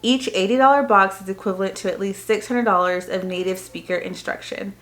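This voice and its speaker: Casual woman vlogger, medium pitch. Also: fairly even intonation, a little fast, a bit bright, faint music behind. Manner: informal, explanatory. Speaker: homeschooling parent vlogger. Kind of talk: casual unboxing show-and-tell, reading printed items aloud.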